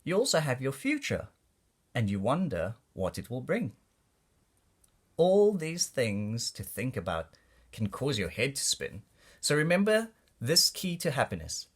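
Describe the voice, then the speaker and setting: A man reading a rhyming children's storybook aloud in an expressive, deliberate way for young listeners. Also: medium pitch, breathy edge. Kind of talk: read-aloud storybook narration of rhyming verse.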